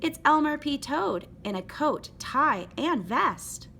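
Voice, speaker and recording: A woman voicing a sweet, curious, excitable little girl in a children's storybook. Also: high voice, slow pace, vocal fry, a little hiss or hum, voice pushed up, full character voice. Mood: delighted surprise.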